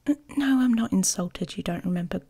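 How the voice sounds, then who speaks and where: A woman playing a smug, playful young werewolf pack leader in an intimate ASMR romance roleplay, teasing and often slipping into a breathy whisper. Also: mid-range voice, animated, fairly quick, warm tone. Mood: playful, scheming.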